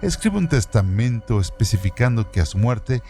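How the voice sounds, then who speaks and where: A man presenting a radio program, reading literary trivia from a script in a measured, even way. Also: mid-range voice. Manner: measured, reads evenly from a script. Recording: music bed under voice. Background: background music.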